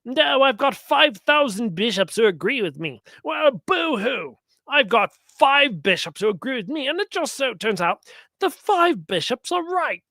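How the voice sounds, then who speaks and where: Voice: mid-range voice, big pitch swings, moderate pace, bright, forceful tone, dry recording. A commentator giving sarcastic religious reactions to clips, mocking and often acting out imagined exchanges.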